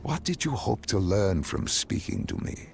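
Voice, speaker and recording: A man playing an enigmatic, calm doctor in a video game, probing a soldier's memories in deliberate, cryptic lines. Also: on the low side, vocal fry. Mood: probing, wry. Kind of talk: scripted dramatic game dialogue.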